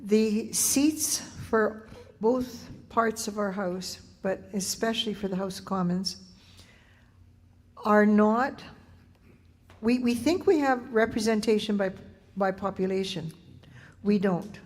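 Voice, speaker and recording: A woman on a discussion panel, answering audience questions in a measured, explanatory way. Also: medium pitch, a little mellow. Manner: measured, corrects and elaborates points. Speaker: panel speaker. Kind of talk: explanatory reply at a public meeting. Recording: long hall reverb.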